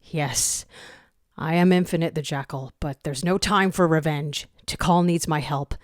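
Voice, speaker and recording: A woman's voice: low voice, a little slow, no echo, clean studio sound. A woman voicing a proud, tormented male jackal squad commander in a fan fiction story, with a clipped, commanding, theatrical manner. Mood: grim, defiant.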